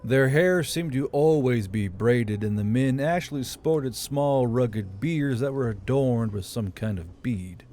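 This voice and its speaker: Mellow male audiobook narrator. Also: medium pitch, unhurried, hardly any pauses, soft background music.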